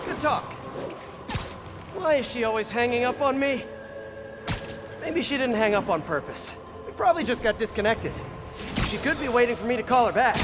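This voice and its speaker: Boyish, animated young American superhero. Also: male voice, very high-pitched. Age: young adult. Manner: boyish, joking, rambling to himself. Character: young male superhero, eager, wisecracking, good-hearted. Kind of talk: video game voice acting. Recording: voice under loud game music.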